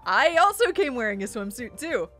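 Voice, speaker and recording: A woman voicing a brash, excitable teenage boy from a visual novel, pushy and exclamatory. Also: a little high, moderate pace, soft background music. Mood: cocky.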